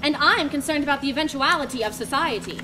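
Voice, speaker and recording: A woman's voice: high-pitched, fast talker, background noise, close to an everyday voice. A woman reading the part of an earnest, solemn man who lives alone in the woods and writes, a little defensive. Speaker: reader in a dramatic reading.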